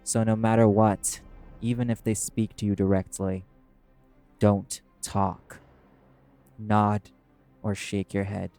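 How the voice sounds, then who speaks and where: A proud, prickly demon played by a man in an audio roleplay. The voice is fairly low and very mellow, yet the delivery is curt, scornful and commanding, with faint music underneath. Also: little pitch movement, takes it slowly, pauses often. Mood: stern, threatening. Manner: terse, scornful, commanding, swearing.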